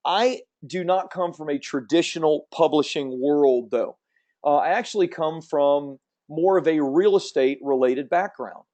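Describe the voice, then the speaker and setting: A man in his fifties with a slight Southern American accent, hosting a promotional webinar and talking quickly in an upbeat, salesy way. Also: fairly high pitch, warm tone, highs cut off. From Central Florida. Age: 50s. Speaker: webinar host. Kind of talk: fast promotional webinar presentation.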